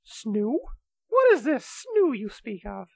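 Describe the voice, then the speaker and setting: A woman acts out a wicked little immortal kitty in an amateur radio comedy. The voice sits high and leaps around, brash and excitable, with a childish whine and a scatterbrained air. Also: takes it slowly, vocal fry, exaggerated voice. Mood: indignant, puzzled.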